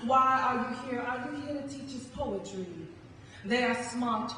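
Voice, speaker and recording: A woman's voice: high-pitched, unhurried, pushed and bright. A young woman, performing as a spoken-word poet at a live event. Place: live on stage in a large echoey hall. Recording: echoey hall from far off, faint noise.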